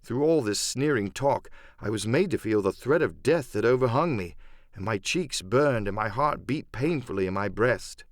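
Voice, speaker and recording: A man reading dramatic first-person adventure narration for an audiobook, measured and literary. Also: medium pitch, expressive, no echo, clean studio sound.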